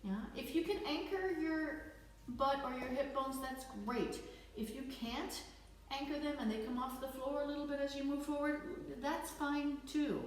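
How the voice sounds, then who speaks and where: A woman fitness instructor with a slight Dutch accent, leading a guided stretching class with gentle, encouraging cues. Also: high-pitched, normal speed, recorded from a distance. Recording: echoey room, voice off-mic.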